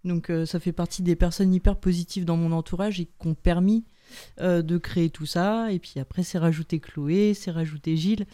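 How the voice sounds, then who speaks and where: A woman guest on a radio chat, talking upbeat about her school, pausing often with "euh". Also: lowish voice, fairly even intonation, dark tone, no room sound, studio quality. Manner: hesitant, many "euh" fillers, upbeat. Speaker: studio guest, school founder. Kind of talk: casual interview chat.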